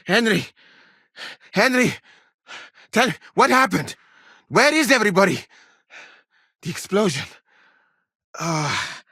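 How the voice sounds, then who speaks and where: Learned, husky-voiced scholar-physician. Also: male voice, high-pitched, pushed and bright, no room sound, clean studio sound, exaggerated voice. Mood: alarmed, urgent. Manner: measured, formal, eloquent phrasing. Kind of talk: video game voice acting.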